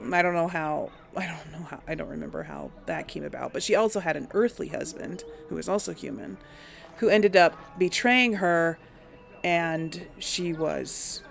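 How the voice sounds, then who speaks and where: Female let's play host; unhurried, vocal fry, rambling and self-deprecating, casual. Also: low-pitched. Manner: hesitant, thinking aloud, self-deprecating. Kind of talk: casual rambling game commentary.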